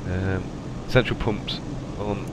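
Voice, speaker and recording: A man with a cold and a slightly raspy voice, chatting casually through a flight simulator cockpit setup. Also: sits low, slow pace, very noisy. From England. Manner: relaxed, chatty, many ums and okays. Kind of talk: casual narrated cockpit walkthrough.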